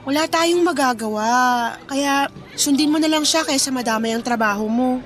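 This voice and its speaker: Actress as young working girlfriend, high pitch, gentle voice of reason, radio drama with light music bed. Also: normal speed, close to an everyday voice. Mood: cautioning. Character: sensible, caring young female fast-food worker, girlfriend.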